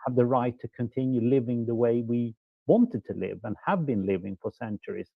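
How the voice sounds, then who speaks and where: A man's voice: mid-range voice, normal speed, vocal fry, dry recording. A man who grew up in Sweden, asking long, courteous questions in a conference panel discussion. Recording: dry tinny phone-like line.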